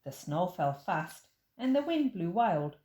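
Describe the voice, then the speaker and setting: A woman reading a rhyming picture book aloud in an expressive, sing-song way. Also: on the low side, unhurried, reverberant. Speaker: teacher reading a story.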